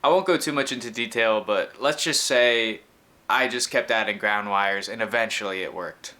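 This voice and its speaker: A man's voice: on the low side, moderate pace, sharp tone. A man in his twenties explaining a technical project informally, as the host of his own video. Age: 20s. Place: small room indoors.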